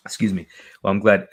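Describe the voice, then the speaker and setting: Scratchy-voiced man musing slowly. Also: fairly low pitch, husky. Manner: thoughtful, repeats key phrases while building ideas. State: slightly scratchy and sniffly. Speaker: co-host. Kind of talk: reflective spiritual discussion.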